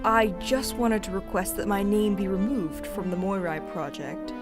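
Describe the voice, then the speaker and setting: A woman's voice: medium pitch, normal speed, over music, natural voice. A woman playing a prickly, diligent junior agent in an audio drama, stiff and aggrieved. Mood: nervous. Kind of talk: scripted audio drama acting.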